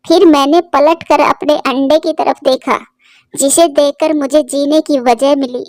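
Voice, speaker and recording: A woman's voice: high-pitched, fairly even intonation, measured pace, darkish tone, dry recording, studio quality. A woman voicing a sorrowful, tender elderly mother bird in a cartoon, with a plaintive, emotional delivery. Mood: grieving.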